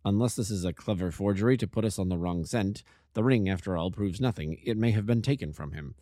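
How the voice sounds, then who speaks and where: A man playing a cool, lordly Victorian detective who speaks low, clipped and measured. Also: mellow, dry recording, clean studio sound, close to an everyday voice.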